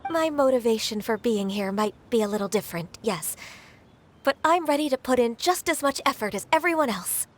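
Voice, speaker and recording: Game heroine voiced by a woman: polite, soft-spoken, high-pitched young woman, modest and a little hesitant. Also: animated, fairly quick, slight background noise, natural voice. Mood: earnest. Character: gentle, modest, dutiful young woman, drowsy office worker.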